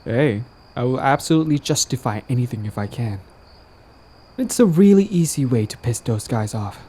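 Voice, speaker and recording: A man's voice: medium pitch, lively intonation, moderate pace, dark tone, husky. A man playing a smug, possessive young villain in a romantic audio drama, talking in a casual, teasing, cajoling way. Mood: defensive, exasperated. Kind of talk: roleplay audio drama, conversational acting.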